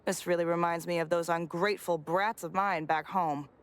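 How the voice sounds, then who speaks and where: A woman's voice: lowish voice, steady tone, normal speed, natural voice. An actress playing a chatty, nosy but kindly neighbourhood mother in a video game, rambling and theatrical. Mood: fond, chatty. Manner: gossipy, rambling, theatrical sighs. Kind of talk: video game dialogue acting.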